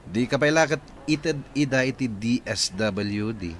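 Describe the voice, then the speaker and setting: An actor playing a nosy, scheming neighbor with a sly, wheedling way of talking. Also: male voice, medium pitch, lively intonation, unhurried, slight background noise, close to an everyday voice. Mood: advising. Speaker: radio drama actor. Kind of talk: radio drama acting.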